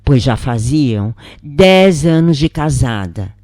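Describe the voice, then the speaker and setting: Slow, expressive woman narrator. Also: extremely low pitch, no echo, studio quality. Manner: slow, expressive, emotional first-person reading. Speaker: channel narrator. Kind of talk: slow spiritual story narration.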